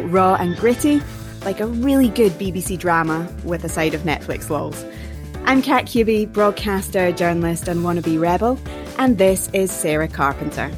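Jokey lady hosting a parenting podcast, lowish voice, bit of music behind her. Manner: playful, jokey. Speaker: podcast co-host. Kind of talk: scripted podcast intro and casual interview.

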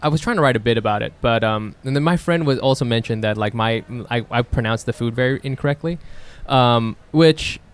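A man co-hosting a casual podcast, talking haltingly and repeating words as he thinks. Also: medium pitch, clear, resonant tone. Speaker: podcast co-host.

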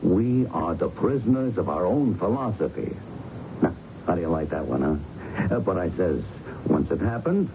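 Low, gravelly, slangy gangster. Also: male voice, exaggerated voice. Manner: slangy, needling, drawn-out tough talk. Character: smug, slangy male gangster giving veiled reassurance. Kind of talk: radio drama acting. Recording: muffled, noisy 1970s radio broadcast.

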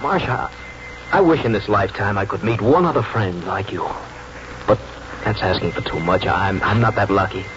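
A man's voice: fairly low pitch, normal speed, lightly acted. An actor playing a humble, grateful young man, hesitant and self-effacing, in 1940s radio drama style. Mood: downcast, grateful. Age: young adult. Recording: dull, noisy 1940s radio recording.

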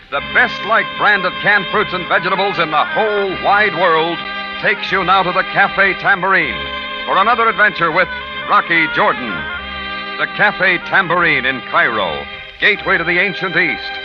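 A man announcing a sponsored drama in a formal 1950s radio style. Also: sits high. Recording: muffled vintage radio, loud music.

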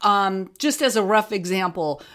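A woman's voice: mid-range voice, expressive, a little slow, fairly bright tone. A woman speaking casually as an interview guest, explaining her creative work in a conversational way.

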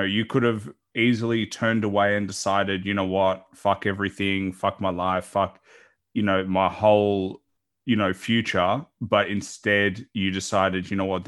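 Male podcast host, lowish voice, casual and encouraging, loose rambling interview delivery. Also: fairly bright tone.

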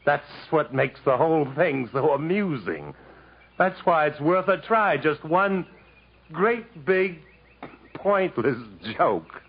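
An actor playing a bitter, sardonic, fatalistic jungle wanderer in 1950s American radio drama style, dramatic and mocking. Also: male voice, a little high, big pitch swings, slow pace, husky, full character voice. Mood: rising bitter intensity. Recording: muffled, noisy 1950s radio recording.

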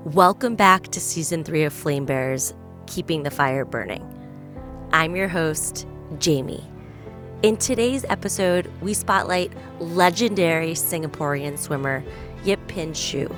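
A woman's voice: deep voice, unhurried, over music. A woman hosting a podcast, reading an earnest introduction and asking interview questions. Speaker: podcast host.

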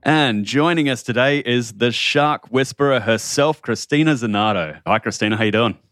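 A man's voice: mid-range voice, normal speed, fairly bright tone, dry recording. A man hosting a podcast as a marine biologist, reading an upbeat intro and then explaining at length.

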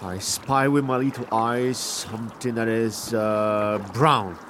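A man's voice: lowish voice, fairly even intonation, slow pace. A man playing a casual, simple, curious sidekick in an audio comedy. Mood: playful. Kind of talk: audio fiction comedy acting. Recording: clear water and boat noise behind.

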